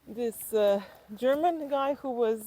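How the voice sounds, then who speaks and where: A woman from Slovenia with a Slovenian accent, telling personal stories reflectively in conversation and haltingly thinking aloud as an interview guest. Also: fairly high pitch, dark tone.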